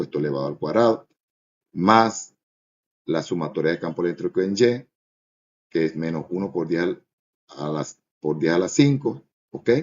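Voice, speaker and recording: A man's voice: deep voice, a little mellow, muffled recording. A man giving a step-by-step lesson as a physics teacher, in a didactic way with frequent short checks for understanding.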